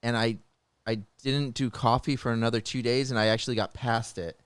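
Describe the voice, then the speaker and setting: A man's voice: mid-range voice, steady tone, brisk, smooth, dry recording. A man with an American accent chatting casually as a host. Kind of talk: casual chat.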